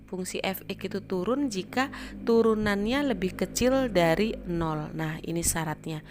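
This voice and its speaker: A woman's voice: on the low side, a little mellow. A woman math teacher explaining step by step in an informal tutorial style.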